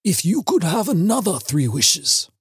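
A man voicing an elderly father, curious and wistful, in a thin, husky voice, as part of a story reading. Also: high-pitched, sing-song, measured pace, no room sound, studio quality, voice pushed up, exaggerated voice.

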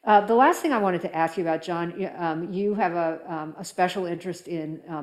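A woman with a notably low-pitched voice works as an interview host. She speaks conversationally, in halting, thoughtful phrases broken by frequent pauses. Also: a little mellow.

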